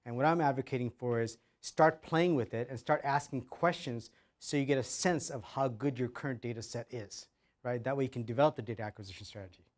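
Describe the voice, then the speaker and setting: A man of middle pitch answering audience questions at a workshop. He talks in loose, casual sentences and ends almost every point with "right?". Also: a little fast. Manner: filler-heavy, keeps adding "right?". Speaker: presenter. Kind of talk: conference Q&A.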